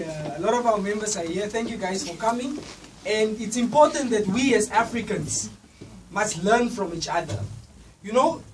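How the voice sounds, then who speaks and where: A young man, a student, giving a loud, rousing speech at an event. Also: high-pitched. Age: young adult. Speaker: student association speaker. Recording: distant mic, faint noise.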